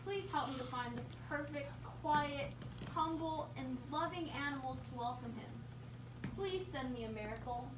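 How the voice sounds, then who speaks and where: A kind Christmas angel with a high, clear voice, as a woman plays her. She talks in an unhurried storytelling way. She sits far from the mic in an echoey room, with background hiss. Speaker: puppeteer voicing a Christmas angel host. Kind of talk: amateur puppet show.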